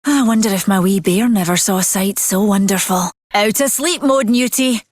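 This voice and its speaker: A woman plays an adventurous Scottish scientist in a video game. Her mid-pitched voice is close and dry, full of tender wonder and a wistful streak. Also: normal speed, dry recording, close to an everyday voice. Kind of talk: video game character voice lines.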